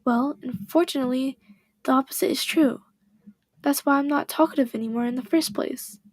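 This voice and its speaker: A woman voicing a shy, self-doubting teenage girl who speaks hesitantly, with a slightly hoarse voice. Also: high-pitched, brisk, a little mellow, no room sound. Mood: ashamed.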